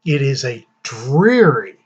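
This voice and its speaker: Slow, deliberate man hosting a vlog. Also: medium pitch, sing-song, a little mellow, breathy edge, highs cut off. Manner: slow, deliberate, with many fillers and long pauses. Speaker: vlog host. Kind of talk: casual news commentary monologue.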